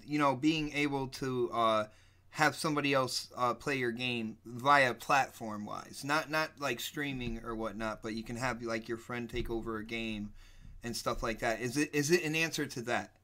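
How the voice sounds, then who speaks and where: A man hosting a casual gaming podcast, teasing his co-hosts and laughing loudly. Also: medium pitch, normal speed. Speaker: podcast host.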